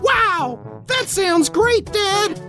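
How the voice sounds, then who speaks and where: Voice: extremely high pitch, expressive, extremely slow, bright, forceful tone, soft background music, exaggerated voice. An actor playing a whiny, bored young boy in an exaggerated comedy radio ad. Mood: excited. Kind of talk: exaggerated comic radio ad acting.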